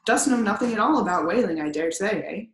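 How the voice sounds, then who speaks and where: Brief: woman doing a blustering, suspicious old sea captain, teasing and gruff, in an echoey room. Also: medium pitch, expressive, fast, natural voice. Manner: blustering, teasing, archaic speech.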